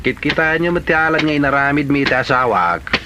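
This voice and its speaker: A man's voice: on the high side, lively intonation, a little fast, noisy background, lightly acted. A man acting a stubborn, grumbling married man in an Ilocano comedy drama. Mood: defensive, complaining.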